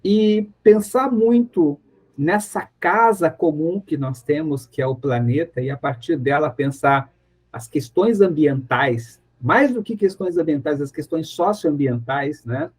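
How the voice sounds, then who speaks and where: A man's voice: fairly high pitch, animated, a little slow, a little mellow, slightly rough. A Brazilian man speaking as a professor being interviewed on radio, reflective and conversational, often searching for words and repeating phrases. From interior of São Paulo state, Brazil.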